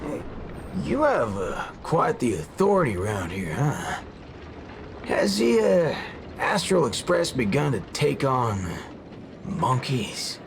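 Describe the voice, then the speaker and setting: Slow, drawling gunslinger. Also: male voice, medium pitch, huge pitch swings, gravelly, background noise, heavily acted. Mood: gruff, wry. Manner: drawling, folksy, mock-cursing. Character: gruff, folksy male cowboy gunslinger. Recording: loud music behind.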